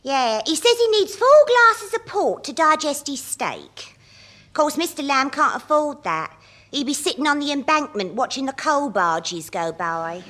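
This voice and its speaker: A woman's voice: high voice, very animated, measured pace, bright, forceful tone, exaggerated voice. A woman playing a bored young office secretary, chatty and aggrieved, with an English accent in a British radio sitcom. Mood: casual. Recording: studio radio comedy recording.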